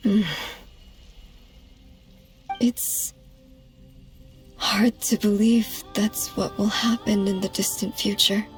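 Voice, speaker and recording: A woman voicing a composed, solemn goddess in an anime game, speaking in a measured, formal way. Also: mid-range voice, level tone, faint music behind, natural voice. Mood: thoughtful. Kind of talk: anime game cutscene acting.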